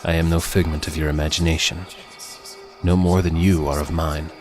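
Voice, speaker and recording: A man's voice: very deep voice, flat delivery, normal speed. A man voicing a solemn, unshakeable time traveler in an audio story, speaking in grave, formal, archaic phrases. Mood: solemn, certain.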